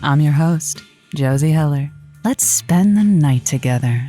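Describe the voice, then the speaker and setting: A woman's voice: sits low, animated, a little slow, mellow, faint music behind. Figure: coy, theatrical late-night radio love host. Sex: woman. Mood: smooth, seductive. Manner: theatrical, coy, playful wordplay. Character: flirty, poised late-night romance radio advice host. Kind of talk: scripted audio comedy, radio monologue.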